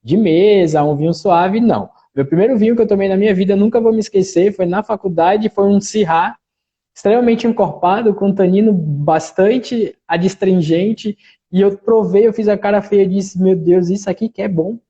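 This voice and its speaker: A man with a mix of Bahian and southern Gaúcho accents, talking as a winemaker guest in a relaxed live interview, often tagging "né". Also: high voice, normal speed, husky, highs cut off. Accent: mixed Bahian and Gaúcho Brazilian Portuguese. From Salvador, Bahia, Brazil. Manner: relaxed, talkative, frequent "né" tags.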